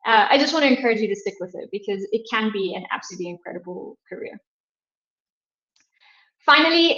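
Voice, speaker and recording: Earnest woman, mid pitch, echoey room, dull lo-fi sound. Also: a bit bright. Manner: encouraging, earnest. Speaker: mentoring programme speaker. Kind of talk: recorded talk. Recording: dull roomy recording.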